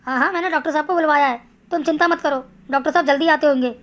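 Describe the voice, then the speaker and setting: A woman voicing an eager, respectful villager in an animated Hindi story, chatty and deferential. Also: high-pitched, rapid-fire. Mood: soothing.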